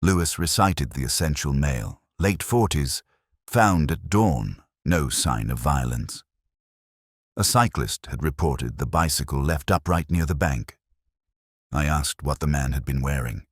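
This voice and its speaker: Very deep, melancholy detective played by a man. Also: breathy edge, no room sound, studio quality, heavily acted. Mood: somber. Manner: literary, measured, wistful. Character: melancholy middle-aged male English police detective narrating his notebook. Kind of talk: scripted first-person dramatic narration.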